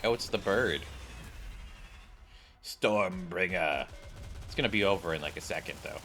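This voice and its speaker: A young man doing casual game commentary, reading on-screen text aloud and thinking out loud. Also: low-pitched, unhurried, faint music behind. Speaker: gaming streamer.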